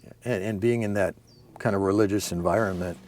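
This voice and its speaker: A man hosting an interview, who asks questions in a calm, relaxed way and adds short prompts. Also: lowish voice, a little fast, a little hiss or hum. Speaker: interview host. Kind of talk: interview conversation.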